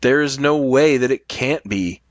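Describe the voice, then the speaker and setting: A man's voice: mid-range voice, a little slow, no echo. A middle-aged man in casual discussion, arguing his opinions emphatically and with some swearing. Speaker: podcast guest.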